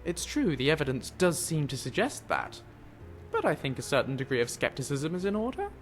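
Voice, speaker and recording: Guy playing eager skeptical scholar in a fantasy game, very lively pitch, bit of music behind. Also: fairly quick. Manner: animated, didactic storytelling. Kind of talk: fantasy video game dialogue.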